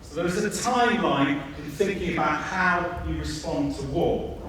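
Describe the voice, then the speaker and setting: A man's voice: fairly high pitch, moderate pace. A man explaining an idea to an audience, walking through it step by step on a theatre stage. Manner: demonstrative, walks the stage explaining. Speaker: workshop speaker. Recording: echoey theatre from far off, faint noise.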